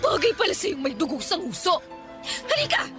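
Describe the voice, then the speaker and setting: Radio drama: a fierce young heroine fighting back, high-pitched, over music. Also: female voice, huge pitch swings, normal speed, full character voice. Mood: furious shouting. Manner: shouting, tearful. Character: young woman, wronged pregnant heroine, fierce. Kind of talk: Tagalog radio drama acting. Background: music bed.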